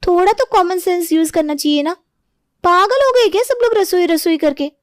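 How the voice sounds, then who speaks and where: A woman's voice: very high voice, normal speed, no room sound, clean studio sound, voice pushed up. A woman voicing an exasperated young daughter-in-law in a moral story, scolding with sarcasm. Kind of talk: animated moral-story voice acting.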